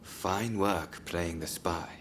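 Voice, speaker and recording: A man's voice: low-pitched, takes it slowly, noisy background. A man playing a stern, watchful samurai captain in a game, terse and controlled in his speech. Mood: quiet, serious. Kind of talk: English game voice acting, cutscene dialogue.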